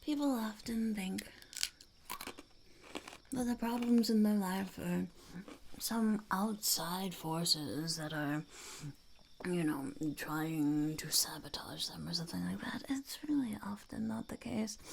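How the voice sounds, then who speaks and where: A woman voicing a blunt, mischievous female goblin in a whispered ASMR roleplay, deadpan and cynical, with a creaky, breathy voice close to the mic. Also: medium pitch, unhurried, slight background noise. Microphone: close ASMR microphone.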